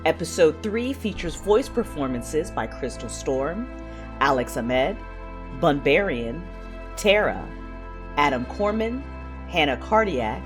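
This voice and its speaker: A woman reading a scripted podcast outro and credits as an announcer. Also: sits low.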